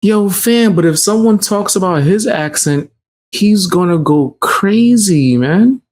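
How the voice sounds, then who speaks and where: Slow-paced man doing mocking reaction commentary. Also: high voice, lively intonation, a little mellow. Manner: slangy, ribbing, incredulous.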